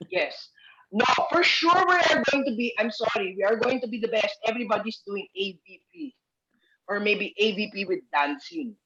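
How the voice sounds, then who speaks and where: A woman's voice: fairly high pitch, animated, moderate pace, fairly bright tone, husky. A middle-aged woman with a Filipino accent leading a casual group discussion in Taglish, emphatic and repeating her phrases. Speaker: organizer leading an online rehearsal. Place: video call. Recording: dull, lo-fi video call audio.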